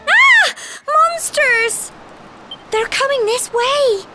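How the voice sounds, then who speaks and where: An actress playing a plucky young village girl in a video game, with a chatty, expressive, folksy way of talking. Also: very high voice, very slow, full character voice. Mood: alarmed. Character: cheerful plucky village girl on coming-of-age climb. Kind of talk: video game cutscene voice acting. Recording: game music behind the voice.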